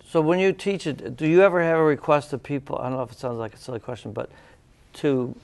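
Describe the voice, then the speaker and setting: A man hosting a talk show interview, speaking haltingly with many uhs and restarts. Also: on the high side, fairly even intonation, vocal fry, a little mellow. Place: cable TV studio.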